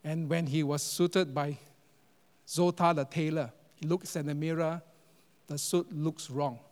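A man with a Singaporean English accent preaching a sermon as pastor, animated and emphatic, repeating phrases for effect. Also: a little high, measured pace. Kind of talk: sermon to a congregation. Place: church auditorium. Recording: long reverb of a large church hall.

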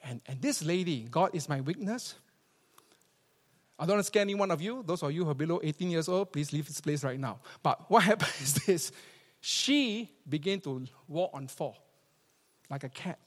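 Singaporean guy preaching in church, pretty high voice, emphatic, big echoey hall. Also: expressive, normal speed. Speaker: pastor, preacher. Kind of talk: church sermon with scripture reading and storytelling. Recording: reverberant hall.

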